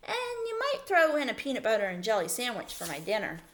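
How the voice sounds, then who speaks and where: A woman's voice: on the high side, wildly swooping pitch, fairly quick, a bit bright. A woman reading aloud the part of a small, bold male mouse in a children's storybook, insistent and always bargaining. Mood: carelessly confident.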